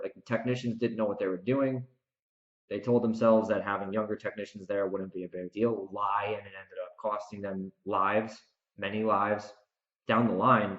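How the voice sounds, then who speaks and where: An American man hosting a solo podcast, ranting casually and emphatically, speaking straight to the listener with strong opinions. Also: on the low side. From United States. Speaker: podcast host and author. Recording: dull, echoey room recording.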